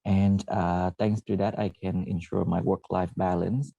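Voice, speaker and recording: Low gravelly man, halting. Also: little pitch movement, mellow, dry recording, muffled recording. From Vietnam. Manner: halting, frequent "uh" and self-corrections. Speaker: former PhD student presenting. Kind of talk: presentation to students.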